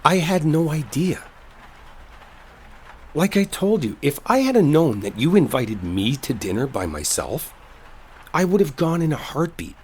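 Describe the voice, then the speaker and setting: A man acting an earnest, lovestruck coworker in an intimate roleplay audio, speaking in a halting, colloquial, confessional way. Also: a little high, very animated, husky, heavily acted. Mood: flustered, pleading. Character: earnest lovestruck male coworker clearing up a misunderstanding. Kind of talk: intimate dramatic roleplay audio, one-sided conversation. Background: rainstorm ambience.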